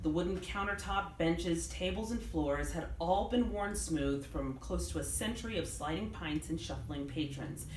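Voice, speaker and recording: A woman with a lowish voice reads fiction expressively as an author at a live event. The room adds clear echo, and there is steady background noise behind her. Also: normal speed, hardly any pauses. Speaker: author reading her own novel. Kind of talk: expressive live book reading. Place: indoors in a bookstore. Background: room ambience, clear background noise.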